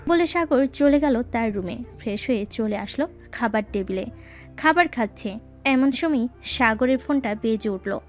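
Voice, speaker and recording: Woman storyteller with fairly high voice. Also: expressive, normal speed. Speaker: narrator. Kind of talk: scripted story narration. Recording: voice over background music.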